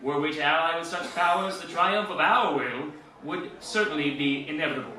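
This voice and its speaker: Man acting a bright, echoey wartime president. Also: on the high side. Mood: lofty, persuasive. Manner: formal, measured oratory. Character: President Roosevelt, solemn, lofty male statesman giving fireside chat. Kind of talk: 1940s presidential radio address parody. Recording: echoey old-radio sound, distant.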